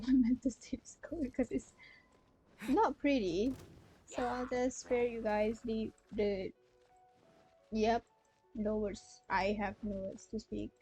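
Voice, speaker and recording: A young woman game streamer with a fairly high voice. She chats casually and hesitantly, rambling and trailing off, with game music in the background. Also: warm tone, low-quality recording. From Malaysia. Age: young adult. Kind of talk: casual livestream chat.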